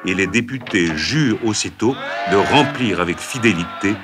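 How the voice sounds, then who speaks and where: Historical cartoon narrator male: grave, declamatory, lower voice over music. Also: lively intonation, normal speed, natural voice. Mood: solemn. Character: grave omniscient narrator of French revolutionary history. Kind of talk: scripted dramatic historical narration. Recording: music behind the voice.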